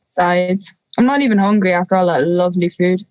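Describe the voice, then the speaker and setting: A woman's voice: on the low side, a little fast, darkish tone, no echo, dull audio, close to an everyday voice. A young woman playing a touchy, wry, harmless talking wolf in an audio drama, indignant and teasing.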